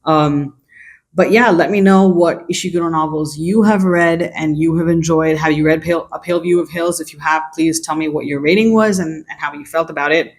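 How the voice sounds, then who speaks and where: A young adult book reviewer, raised in China, talks through a novel's themes in a relaxed, thoughtful way. The pitch sits mid-range, and the speech is full of small hedges and restarts. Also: a little fast. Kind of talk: casual video book review.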